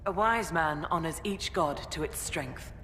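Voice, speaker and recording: Dignified fantasy clan leader, formal, stately, welcoming, long echoing reverb, noisy backdrop. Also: female voice, deep voice, measured pace, a bit bright. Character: dignified female chieftain of a hill tribe, welcoming. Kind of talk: fantasy video game acting. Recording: echoey hall with background noise.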